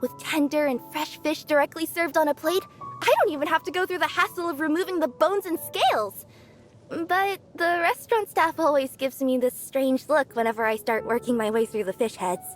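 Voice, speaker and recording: An actress voicing a cheerful young female cat-yokai courier for a video game, bubbly and bashful, quick to fluster. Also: high-pitched, faint music behind, close to an everyday voice. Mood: chatty. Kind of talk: video game character voice lines.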